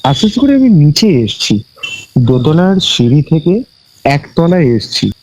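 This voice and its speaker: A man tells a personal story conversationally, haltingly, often repeating his phrases. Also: fairly high pitch, big pitch swings, a little mellow, a little hiss or hum, poor audio. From West Bengal, India. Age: 20s. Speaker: guest recounting a personal ghost experience. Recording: music bed with cricket and insect sounds.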